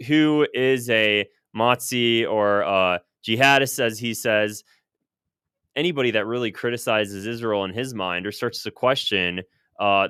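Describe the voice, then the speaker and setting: A man's voice: lowish voice, a bit bright, clean tone, dry recording, studio quality. An American man giving unscripted, opinionated political commentary in an emphatic, insistent way, repeating phrases for stress. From United States.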